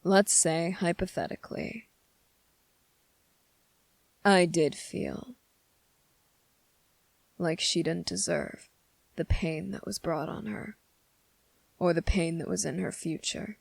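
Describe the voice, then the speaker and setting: Someone acting out a brooding demon for asmr roleplay, gravelly and taunting, really slow, super close dry mic. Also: medium pitch, fairly even intonation, dry recording, heavily acted. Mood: defensive, brooding. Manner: slow, deliberate, taunting, with dramatic pauses. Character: brooding menacing demon with a hidden moral code. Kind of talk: intimate ASMR roleplay monologue. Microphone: close, dry microphone.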